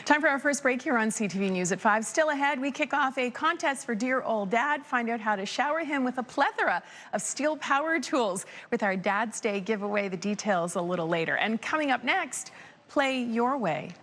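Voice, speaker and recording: A woman's voice: fairly high pitch, lively intonation, normal speed, fairly bright tone. A woman anchoring a local evening newscast, reading scripted stories in an upbeat, friendly way. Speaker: news anchor.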